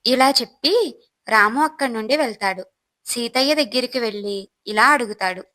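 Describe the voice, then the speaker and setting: Bright, higher-pitched woman storyteller. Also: moderate pace. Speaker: narrator. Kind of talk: scripted moral story narration.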